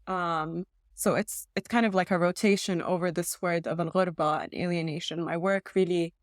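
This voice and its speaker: A woman's voice: on the low side, steady tone, moderate pace, no room sound, clean studio sound. A woman from Saudi Arabia, a native Arabic speaker, talking reflectively as an artist in an interview. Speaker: textile and multimedia artist being interviewed.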